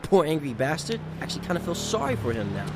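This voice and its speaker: A man's voice: a little high, very animated, quick pace, background noise. A man acting in an audio drama as an agitated, blunt young army specialist.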